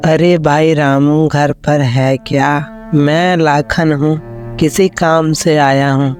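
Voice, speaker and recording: A man's voice: fairly high pitch, level tone, takes it slowly, a little mellow. A man voicing a humble, polite village neighbour in a moral story cartoon, with deferential phrasing. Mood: humble request. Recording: music under the voice.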